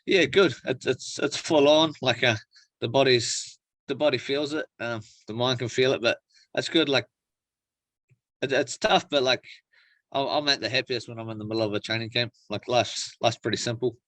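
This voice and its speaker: A man's voice: mid-range voice, fairly even intonation, moderate pace, fairly bright tone, dry recording. Male athlete interviewee, New Zealand accent. Mid-range voice, informal and slangy, dry sound with little room. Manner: laid-back slangy phrasing, frequent "like" and "bro". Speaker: professional MMA fighter being interviewed. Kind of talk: casual interview chat.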